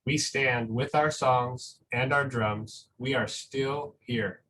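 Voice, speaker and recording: A man's voice: medium pitch, steady tone, slow pace, sharp tone, rough recording. A man reading a picture book to school families and chatting about it in an encouraging, conversational way. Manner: encouraging, conversational, often checks in with "right?". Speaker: school reader addressing families. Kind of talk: picture-book read-aloud with casual commentary. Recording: noticeable background noise.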